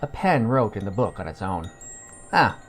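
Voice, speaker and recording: A man narrating a scripted fantasy story as a podcast storyteller, in a measured reading manner. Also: lowish voice, expressive, a little hiss or hum.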